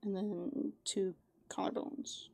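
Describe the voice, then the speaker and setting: A woman doing improvised ASMR roleplay, softly and playfully musing aloud, slipping into breathy whispers close to the microphone. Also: medium pitch, little pitch movement, takes it slowly, dry recording. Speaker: ASMR performer in a raccoon avatar persona.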